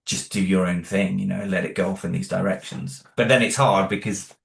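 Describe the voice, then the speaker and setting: A man's voice: sits low, fairly even intonation, a little fast, creaky. A man chatting in an interview about making music and sound for his audio drama, talking loosely with many fillers and restarts. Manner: rambling, many fillers and restarts, self-deprecating. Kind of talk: casual interview chat.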